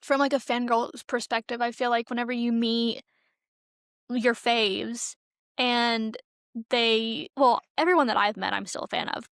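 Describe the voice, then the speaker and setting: A woman's voice: fairly high pitch, a bit bright, dry recording, clean studio sound. A young woman co-hosting a podcast, talking casually about pop culture in chatty, slangy, informal speech. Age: young adult. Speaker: podcast co-host.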